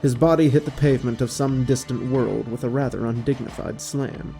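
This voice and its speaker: Male audio drama narrator: warm, fry-edged voice, measured dramatic delivery, light music bed. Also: mid-range voice, steady tone.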